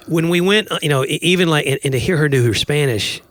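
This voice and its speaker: A man's voice: on the high side, fast talker, fairly bright tone, no echo. An American man in his forties chatting casually as a podcast co-host, rambling and joking, close on the microphone. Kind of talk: casual chat. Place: small home room, indoors. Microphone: close podcast microphone on boom arm.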